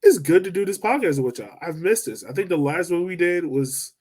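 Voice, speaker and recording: A man's voice: high voice, lively intonation, fairly quick, darkish tone, breathy edge. A man hosting a casual sports podcast, often repeating words as he thinks aloud. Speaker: podcast host.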